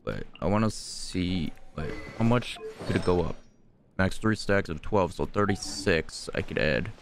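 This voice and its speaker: A man's voice: sits low, slight background noise. A man giving casual gameplay commentary, blunt and sweary, often talking straight at the game. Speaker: gaming video creator.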